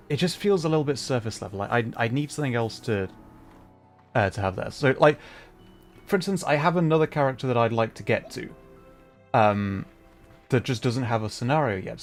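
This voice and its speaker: A man's voice: medium pitch, animated, moderate pace. Man chatting casually over game music. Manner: hesitant, thinking aloud, rambling explanations. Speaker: streamer. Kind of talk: casual livestream chat while gaming.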